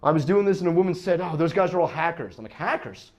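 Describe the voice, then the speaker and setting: Animated high-voiced American man doing stand-up. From United States. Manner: halting, self-interrupting banter, often chuckling. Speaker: stand-up comedian. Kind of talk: stand-up crowd work.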